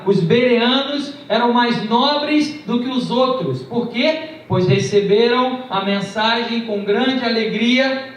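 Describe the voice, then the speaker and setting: High-voiced preacher in echoey church. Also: male voice. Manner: storytelling, emphatic, varying pace. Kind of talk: sermon. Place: large church hall. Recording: distant, echoey, muffled church recording.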